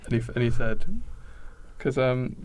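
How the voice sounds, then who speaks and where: A man co-hosting a podcast, improvising a silly story casually in a halting, meandering way. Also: medium pitch, little pitch movement, noisy background. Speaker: podcast co-host.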